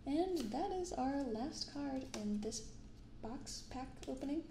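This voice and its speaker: A young woman speaking softly in an ASMR style, unhurried as she comments and reads aloud. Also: high-pitched, expressive, gravelly, roomy sound, noisy background. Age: young adult. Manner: unhurried, hushed commentary and reading from cards. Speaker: host of a card-opening channel. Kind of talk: soft-spoken ASMR. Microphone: binaural microphone.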